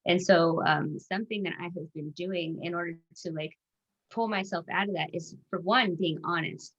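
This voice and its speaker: Soft-spoken woman on dull lo-fi audio. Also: on the low side, normal speed. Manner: soft-spoken and reflective, with many "um" and "like" fillers. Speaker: life coach guest. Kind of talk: casual panel chat.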